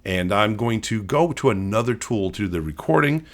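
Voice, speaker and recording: Male tech presenter, fairly low voice with animated inflection, talking casually through an on-screen demo. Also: normal speed. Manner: conversational, explanatory. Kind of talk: casual screen-share walkthrough.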